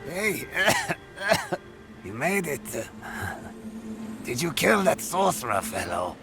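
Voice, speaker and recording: An actor playing a wounded, dying rogue in a video game, wry and resigned, speaking weakly and haltingly with labored breath. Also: male voice, a little high, big pitch swings, creaky, exaggerated voice. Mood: wry, fading. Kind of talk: dramatic video game cutscene acting. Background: dramatic game music.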